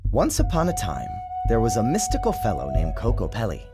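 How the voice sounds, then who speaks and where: A man's voice: lowish voice, fairly quick. A man reading a children's storybook as a dramatic, theatrical narrator. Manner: theatrical, expressive storyteller delivery. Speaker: author narrating his storybook. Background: music and sound design.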